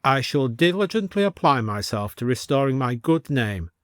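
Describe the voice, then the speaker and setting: A man voicing a stiff, plaintive Victorian gentleman with pompous, old-fashioned formal phrasing, in a storybook. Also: mid-range voice, sing-song, moderate pace, no echo, clean studio sound, close to an everyday voice. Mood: earnest.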